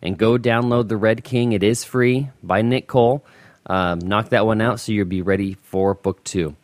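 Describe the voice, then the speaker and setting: A man's voice: on the low side, fairly even intonation, moderate pace, no echo. A male podcast host chatting casually with guest writers, joking at his own expense and repeating words as he talks. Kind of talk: casual interview chat.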